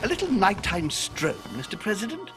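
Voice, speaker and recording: A suave, scheming gentleman in a dramatic video game. His fairly high voice swoops extravagantly through formal, insinuating courtesies, with music clearly playing underneath. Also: wildly swooping pitch, normal speed. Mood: suavely polite.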